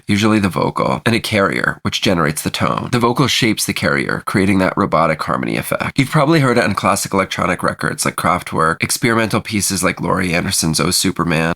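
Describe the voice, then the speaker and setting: A man's voice: sits low, vocal fry, fairly bright tone. A man narrating a scripted music production tutorial, walking through each step in order. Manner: explanatory, step-by-step.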